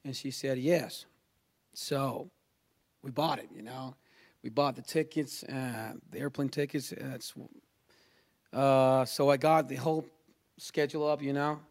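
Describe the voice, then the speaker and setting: A man from Chile, a native Spanish speaker with a Chilean accent, tells a personal religious testimony in a halting, informal way. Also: medium pitch, fairly even intonation. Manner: halting, informal, peppers talk with "you know" and "man".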